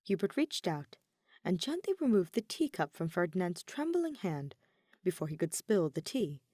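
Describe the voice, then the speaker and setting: Expressive woman narrating a story. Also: fairly low pitch, moderate pace, no room sound, studio quality. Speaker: audiobook narrator. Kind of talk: scripted narration of fan fiction.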